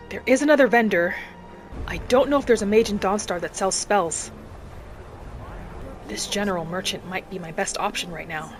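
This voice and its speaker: Female gameplay storyteller, mid-pitched, earnest and measured, speaking in character over soft music. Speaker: gaming channel host. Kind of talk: in-character roleplay gameplay narration. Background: background music.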